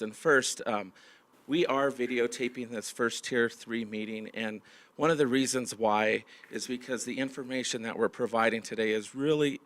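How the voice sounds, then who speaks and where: A man's voice: medium pitch, a bit bright. A man who is losing his voice, hosting a staff meeting as county manager and speaking to a large audience with many fillers and restarts. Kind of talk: opening remarks to a large audience.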